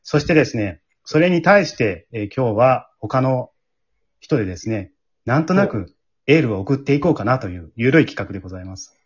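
A man's voice: mid-range voice, expressive, brisk, slightly rough, dull audio. A man with a Kansai accent hosting a casual after-show talk, conversational and often seeking agreement from his listeners. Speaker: host and scriptwriter of an amateur radio drama group.

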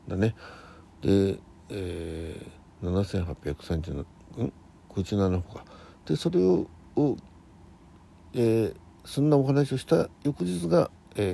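Older man in his sixties, gravelly, somewhat husky, halting, self-correcting, casual radio host. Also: low voice, warm tone. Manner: halting, rambling, muttering to himself and correcting himself. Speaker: host of a morning audio diary show. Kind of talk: casual solo radio chat.

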